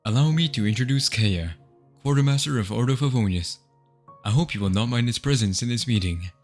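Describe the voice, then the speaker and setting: A man's voice: mid-range voice, fairly quick, darkish tone, soft background music, close to an everyday voice. A man playing a composed, dignified young noble host in a dramatic fan dub, speaking formally and politely. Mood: composed, firm.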